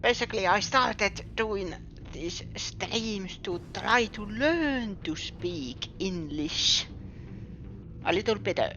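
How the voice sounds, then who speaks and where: Slow, lively Finnish woman streamer. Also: mid-range voice, highs cut off, a little hiss or hum. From Finland. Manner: halting, searching for words. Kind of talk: casual live-stream chat while gaming.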